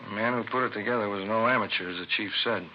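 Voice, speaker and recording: Male actor as methodical arson investigator for vintage radio drama, somewhat low, matter-of-fact, dull recording with faint noise. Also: very fast, lightly acted. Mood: explanatory. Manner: matter-of-fact, short explanatory phrases. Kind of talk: 1950s radio crime drama acting.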